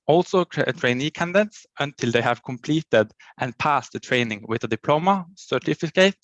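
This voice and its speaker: A man, a county education official reading a prepared conference presentation in a formal, halting way and often cutting off and restarting words. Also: mid-range voice, fairly bright tone, no echo, highs cut off.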